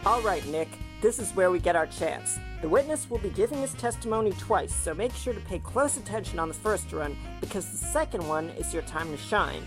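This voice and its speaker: A woman's voice: lowish voice, animated, a little fast, few pauses, music in the background, close to an everyday voice. A woman playing a patient mentor lawyer coaching a rookie in an amateur audio drama, earnest and instructive. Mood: encouraging.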